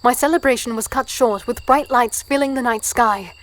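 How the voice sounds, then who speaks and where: A woman's voice: a little high, moderate pace, lightly acted, rough recording. A woman playing a plucky, spirited fighter, telling her story in earnest first person in a fantasy audio drama. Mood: tense storytelling. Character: plucky, spirited small female fighter and archer.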